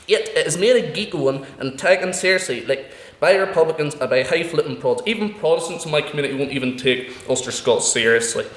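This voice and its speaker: A man's voice: on the high side, brisk. A young man with a Northern Irish accent giving an emphatic, combative speech at a student debate, firing off rhetorical questions. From Northern Ireland. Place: university debating chamber. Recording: echoey debating hall.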